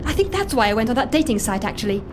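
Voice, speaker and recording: A woman playing an eager, quirky middle-aged islander in an audio drama, hesitant yet excitable, with deadpan humour. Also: on the high side, racing, background noise. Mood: excited, eager. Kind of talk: audio fiction drama acting.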